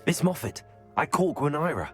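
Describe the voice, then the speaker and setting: Earnest teen wizard hero, animated delivery, game dialogue with music behind. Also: male voice, fairly high pitch, moderate pace. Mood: polite. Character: brave, polite teenage wizard student hero. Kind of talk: video game character dialogue.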